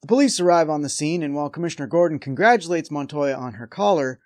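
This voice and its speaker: A man's voice: a little high, animated, quick pace, a little mellow, no echo. A man hosting a review show, delivering scripted comedic recap narration with a wry, sarcastic edge.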